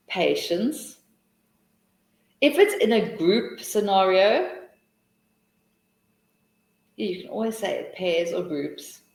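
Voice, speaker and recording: A woman's voice: fairly low pitch, darkish tone, roomy sound, poor audio. A South African woman hosting a live teaching webinar, answering questions in a chatty, encouraging way with many restarts.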